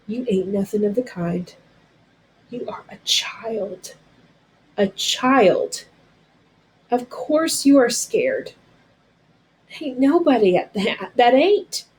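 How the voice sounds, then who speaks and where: A woman's voice: a little high, animated, slow pace, pauses often, a little mellow, low-quality recording. Woman voicing a tender, firm old country woman. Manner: folksy rural dialect, soothing and firm. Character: elderly Southern woman, tender, firm, motherly protector.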